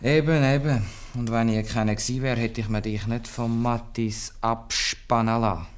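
A man's voice: medium pitch, fairly even intonation, normal speed, close to an everyday voice. A man playing a middle-aged, dry but kindly town bailiff and old suitor in a 1950s Swiss German radio comedy. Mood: awkward, rueful. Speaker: actor in a radio play.

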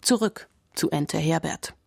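A woman's voice: low voice, unhurried, creaky, a little mellow, slightly rough, no echo, studio quality. A woman working as a radio culture reporter, delivering scripted narration.

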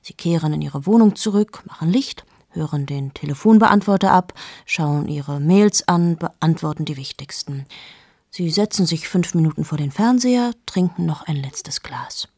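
A woman's voice: deep voice, expressive, normal speed, a little mellow, no echo, clean studio sound. An actress in her late thirties playing a cold, amoral journalist in a radio play monologue, speaking intimately to the listener and often dropping into whispers. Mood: brooding. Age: late 30s. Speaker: radio drama lead.

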